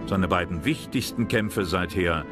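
Male narrator for history documentary, deep voice, scripted read over music. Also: unhurried.

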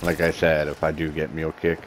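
A man with a very low voice gives laid-back gameplay commentary, chatting to his viewers and poking fun at himself. A faint noise runs under the recording. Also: completely flat delivery, fairly quick. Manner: laid-back, self-mocking, talks to viewers. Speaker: gaming commentator.

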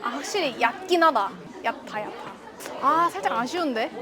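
High-pitched woman chatting in a busy store. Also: expressive, normal speed. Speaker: vlogger's wife. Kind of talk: casual couple chat. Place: outlet shoe store. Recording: background music and store crowd noise. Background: music, shoppers' chatter.

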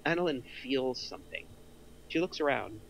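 A man's voice: medium pitch, animated, normal speed, creaky, slight background noise, poor audio. A middle-aged man reading out screenplay action lines as a narrator. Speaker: narrator of a script table read.

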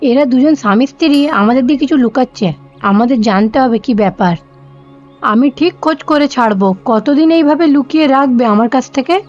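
A woman's voice: high-pitched, moderate pace, darkish tone, natural voice. A woman voicing a nosy, suspicious middle-aged mother-in-law in a cartoon, questioning and fault-finding. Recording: dull lo-fi sound with music.

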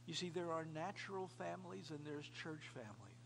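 An American man in his fifties from New York, a pastor giving an earnest, emphatic sermon with repeated phrases and pauses. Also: a little high, big pitch swings. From New York, United States. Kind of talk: sermon to a small congregation. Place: indoors, cafeteria used as a church hall. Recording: clearly noisy room recording with hum.

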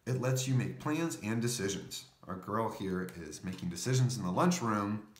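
Man reading aloud with lively, swooping pitch. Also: moderate pace, roomy sound. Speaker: storybook reader. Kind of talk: children's picture book read-aloud.

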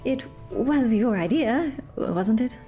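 A woman's voice: on the high side, lively intonation, takes it slowly, mellow, soft background music, voice pushed up. A woman voicing a bold, clever young man who is a tanner, speaking wryly.

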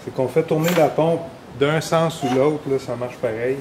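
A man's voice: fairly high pitch, normal speed, noisy background. Casual Québécois man in a workshop. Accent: Québécois French. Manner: casual, rambling, with fillers and restarts. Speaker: snowmobile mechanic. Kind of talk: hands-on repair tutorial. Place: indoor workshop garage.

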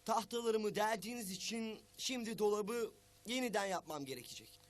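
A man's voice: high voice, normal speed, lightly acted. A man voicing a stern but caring guardian in a cartoon, speaking in an admonishing, emphatic way. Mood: firm, concerned. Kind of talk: cartoon voice acting.

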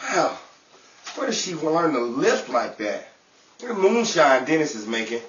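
A man in his thirties playing an excitable, theatrical emcee in a 1950s-style radio show. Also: a little high, measured pace, highs cut off. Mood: comic indignation. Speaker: host and actor in a radio-style revue. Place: small indoor room dressed as radio studio. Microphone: far camera. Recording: roomy, distant mic, noisy with audience.

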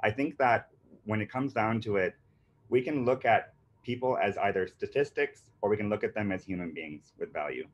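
A man's voice: on the low side, moderate pace, a little hiss or hum. A man in his thirties who grew up in Panama, presenting a marketing webinar earnestly, with many fillers and restarts. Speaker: marketing agency founder presenting a workshop. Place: indoors on a video call with a virtual beach background. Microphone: computer mic on a video call. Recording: dull lo-fi video call audio.

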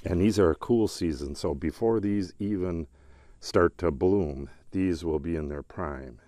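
A man's voice: low voice, unhurried, dark tone, dry recording. A man casually walking through his garden and talking about his plants, rambling and thinking aloud as he goes. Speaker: gardening channel host. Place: outdoors in a garden.

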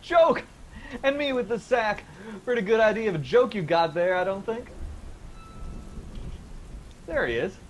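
An actor playing a cheerful, cocky young son in a radio drama, breezy and bantering. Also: male voice, high-pitched, animated, unhurried, pauses often, slight background noise, poor audio.

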